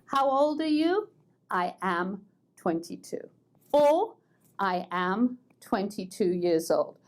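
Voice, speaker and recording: An American woman teaching an online English grammar lesson, patient and methodical, repeating words slowly so learners can drill along. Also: mid-range voice, big pitch swings. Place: language school in Boston.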